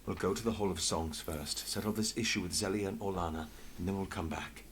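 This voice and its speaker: An actor playing a composed, wry investigator with a measured, polite manner in a fully voiced video game. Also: male voice, low-pitched, slight background noise, natural voice. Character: composed male investigator, former street thief, wry and courteous. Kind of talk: scripted video game voice acting.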